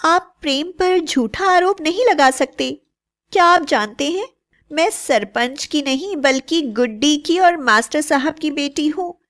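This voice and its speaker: Righteous young bride, high-pitched, very slow, earnest, fearless, declamatory, cartoon fairy tale. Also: female voice, lively intonation, fairly bright tone. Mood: accusing.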